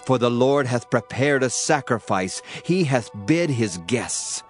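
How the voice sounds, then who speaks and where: Guy doing a dramatic audio bible read, solemn and declamatory, mid pitch, music under it. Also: expressive, unhurried. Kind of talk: dramatized scripture reading in archaic English. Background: background music.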